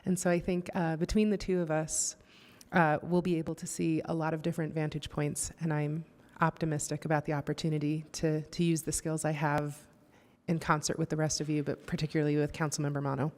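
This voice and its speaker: A woman's voice: low voice, flat delivery, a little fast, darkish tone. Earnest woman in a reverberant council chamber. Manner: earnest, hesitant, with fillers and restarts. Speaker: council member. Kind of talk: formal meeting remarks.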